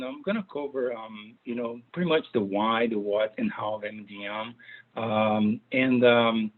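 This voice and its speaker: Brazilian-accented male presenter, halting and filler-heavy, heard over a thin phone-like call line. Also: medium pitch. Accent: Brazilian Portuguese. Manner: hesitant, many fillers. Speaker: guest speaker. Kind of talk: webinar presentation. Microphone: video call. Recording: tinny phone-like call audio.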